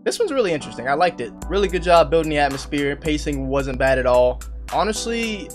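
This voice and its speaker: A man in his twenties doing casual, slangy reaction commentary as he plays a horror game, tossing out joking asides. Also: mid-range voice. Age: 20s. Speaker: gaming video host. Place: indoor gaming setup. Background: game music.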